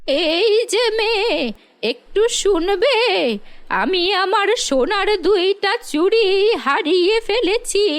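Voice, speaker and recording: A stern old magic crone acted out by a woman from a cartoon fairy tale. Her voice sits very high, and she draws out each phrase slowly and with command. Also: a bit bright, exaggerated voice. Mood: coaxing. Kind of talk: animated fairy-tale storytelling.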